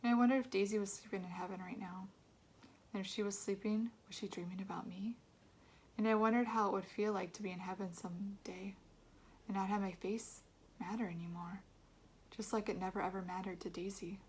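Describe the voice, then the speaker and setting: Expressive woman reading a story aloud. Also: mid-range voice, a little fast, slight background noise. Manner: expressive, acts out dialogue. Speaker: reader of a children's novel aloud. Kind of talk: read-aloud storytelling in a child's first person.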